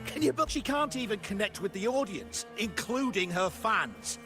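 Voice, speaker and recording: High, fussy grumbling man. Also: big pitch swings, moderate pace, over music. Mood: scornful. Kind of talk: video game voice acting.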